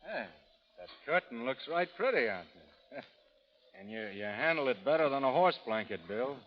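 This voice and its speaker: An actor playing a steady, wry frontier gunman with an American accent, understated and drawling, in old radio drama style. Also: medium pitch, normal speed. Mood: easygoing, laconic. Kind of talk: 1930s-40s radio drama acting. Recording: dull vintage radio recording.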